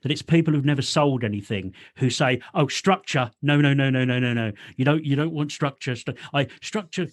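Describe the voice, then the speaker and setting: A man's voice: mid-range voice, fairly quick, hardly any pauses. A middle-aged man hosting a casual interview as a fellow writer, informal and blunt, with a self-deprecating streak. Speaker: podcast host, writer.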